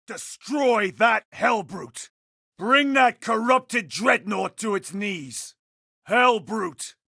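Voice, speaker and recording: A man voicing a furious, vengeful space marine in a video game, orating loudly and forcefully. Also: sits high, extremely slow, gravelly, no echo, clean studio sound, heavily acted. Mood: furious shouting. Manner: loud, forceful, oratorical. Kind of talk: video game battle barks.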